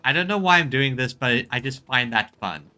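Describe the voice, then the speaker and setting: A man's voice: mid-range voice, expressive, moderate pace, bright, forceful tone, faint music behind. A man doing casual video game commentary as a streamer, chatting and reading lines aloud.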